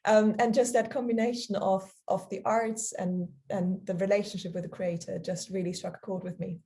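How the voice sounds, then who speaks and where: A woman's voice: medium pitch, steady tone, moderate pace, mellow. A woman, answering interview questions in a hesitant, casual way with many ums and easy laughter. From Germany. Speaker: guest, charity founder.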